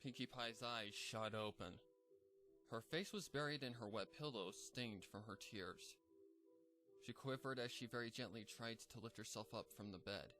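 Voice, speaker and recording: A man narrating a fanfic as a measured, somber scripted reading. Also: mid-range voice, sharp tone, soft background music.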